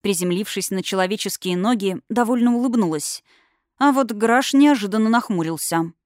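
A woman reading a fantasy novel as an audiobook narrator, in an expressive scripted storytelling style. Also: medium pitch, moderate pace, dry recording, clean studio sound.

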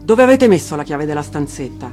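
A wrathful storybook husband, acted out by a woman, hands down orders and threats without pity. The delivery is thunderous and commanding. Music plays clearly behind the words. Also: deep voice, sing-song, fairly quick, darkish tone. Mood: angry, accusing. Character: menacing, wrathful murderous husband, Bluebeard. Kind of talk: dramatic fairy-tale reading. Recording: music under the voice.

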